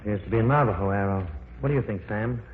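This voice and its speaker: An actor playing a calm, learned male professor exploring caverns, measured and reassuring, in an old radio drama. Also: on the low side, natural voice. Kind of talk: 1940s radio acting. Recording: tinny old radio broadcast, noisy, echoey.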